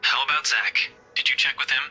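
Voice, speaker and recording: A man playing a gruff, blunt young police detective with a protective side in a visual novel game. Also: medium pitch, fairly even intonation, a little fast, bright, forceful tone, low-quality recording. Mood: calm, firm. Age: young adult. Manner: curt, blunt, dry. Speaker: game voice actor. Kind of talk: dramatic visual novel dialogue. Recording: music behind the voice.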